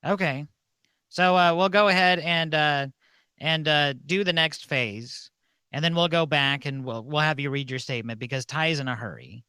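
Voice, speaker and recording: A man's voice: on the high side, normal speed, bright, forceful tone, clear, resonant tone, no room sound. A man casually hosting a comedic ceremony on a livestream. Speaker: host of a comedic mock-church livestream.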